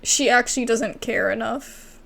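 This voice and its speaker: A woman's voice: a little high, unhurried, vocal fry, a bit bright. A woman giving casual, informal gameplay commentary as a streamer, reacting as she goes. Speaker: gaming streamer.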